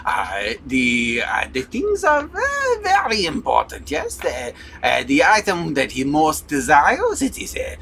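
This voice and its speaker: A man voicing a vague wandering male scholar, halting and full of long pauses. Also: a little high, huge pitch swings, vocal fry, sharp tone, husky, exaggerated voice. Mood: distracted. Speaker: player voicing a character.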